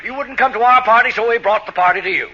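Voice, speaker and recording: An actor playing a blustering but hearty older boss in a 1940s radio comedy. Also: male voice, sits high, quick pace, natural voice. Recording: noisy, dull 1940s radio recording.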